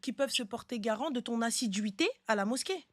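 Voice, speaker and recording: A very high-voiced woman hosts a live advice chat in a close, dry sound. She is blunt and insistent, repeating herself to press her point as she talks casually to callers. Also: animated, fairly quick, no echo. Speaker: live stream host giving relationship advice.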